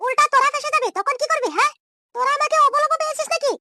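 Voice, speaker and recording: Man as a shrill, squeaky scolding cartoon woman. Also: steady tone, very fast, bright, forceful tone, heavily acted. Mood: defiant, threatening. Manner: scolding, ranting in short repeated taunts. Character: shameless, quarrelsome, scheming young woman, threatening and defiant. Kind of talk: amateur comedy cartoon dubbing.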